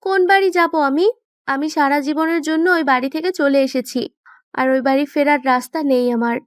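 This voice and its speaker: A woman's voice: high voice, normal speed, dry recording, studio quality, close to an everyday voice. A woman playing a headstrong, emotional young woman in love in an audio story. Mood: hurt, defiant. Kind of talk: audio story character acting.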